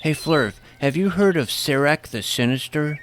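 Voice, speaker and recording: Would-be druid hero, played by a man, a touch high, naive, easily indignant, pompous one moment and plain the next. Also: animated, normal speed, a bit bright, a little hiss or hum. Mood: scolding, then gentle. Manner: mock-archaic pomposity slipping into plain petulant talk. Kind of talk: first-person fantasy audio drama.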